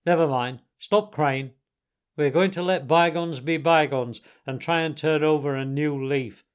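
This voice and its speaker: A loud, dry-voiced old badger from a storybook, acted by a man, stern and used to being obeyed. He speaks with firm, measured authority and gruff kindness. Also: a little high, natural voice. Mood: gruffly kind. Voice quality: thin, dry. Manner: severe, authoritative, measured scolding. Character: stern, commanding elder badger, gruffly kind.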